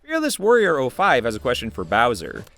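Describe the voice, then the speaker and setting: A man's voice: mid-range voice, huge pitch swings, brisk, fairly bright tone. A man giving casual, unscripted commentary as a video creator, rambling and chuckling in a conversational way.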